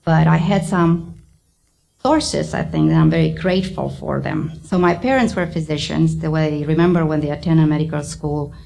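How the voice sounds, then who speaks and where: A middle-aged woman with a Romanian accent giving a thoughtful public lecture, often pausing with ums. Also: sits low, fairly even intonation, gravelly, mellow. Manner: thoughtful, hesitant with many ums. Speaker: psychiatrist giving a talk.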